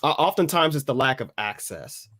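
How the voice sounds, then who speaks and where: A man co-hosting an opinionated podcast discussion, earnest and deliberate, mixing formal phrasing with slang. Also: mid-range voice, very animated, a crowd in the background. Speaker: podcast co-host.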